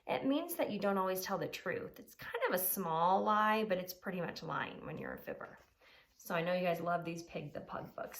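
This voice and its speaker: A woman elementary teacher explaining simply to children and reading aloud, with emphasis and rhetorical questions. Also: mid-range voice. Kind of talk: children's lesson and expressive storybook read-aloud.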